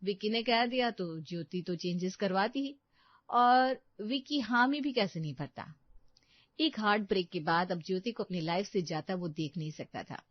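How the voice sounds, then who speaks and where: A woman's voice: medium pitch, moderate pace, no room sound. A woman narrating a scripted story as its storyteller. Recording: slightly dull, dry studio recording.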